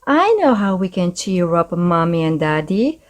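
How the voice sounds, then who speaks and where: A woman voicing a cheerful, excitable little girl pig in a children's storybook, with eager exclamations. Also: on the low side, measured pace.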